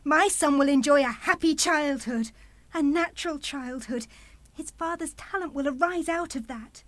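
A woman's voice: high-pitched, moderate pace, fairly bright tone, no echo. An actress in a radio play playing a bitter, fiercely protective young mother, indignant and cutting in her delivery. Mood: angry, insistent.

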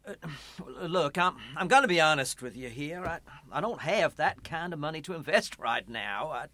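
A kindly, flustered middle-aged pastor in a radio play. He speaks haltingly and earnestly in a slightly high, sharp-edged voice, placating as he goes, recorded dry and close. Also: lively intonation, no echo. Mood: hesitant, awkward.

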